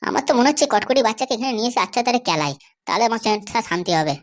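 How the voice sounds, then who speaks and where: Woman as a brash, fast-talking cartoon kidnapper. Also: on the high side, expressive, natural voice. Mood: boastful, vengeful. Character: boastful, vengeful male kidnapper in a comedy cartoon. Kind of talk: amateur cartoon comedy dubbing.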